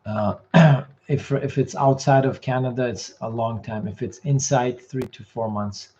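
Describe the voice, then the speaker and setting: Hoarse man answering immigration questions. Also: mid-range voice, steady tone, dark tone, dull audio, a little hiss or hum. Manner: quick, matter-of-fact answers, reads comments aloud. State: losing his voice. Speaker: immigration consultant host. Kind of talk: live Q&A reading viewer questions.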